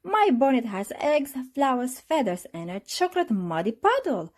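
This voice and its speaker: A woman voicing an excitable, curious little girl pig from a children's storybook, full of eager questions and exclamations. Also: high voice, moderate pace, voice pushed up. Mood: proud.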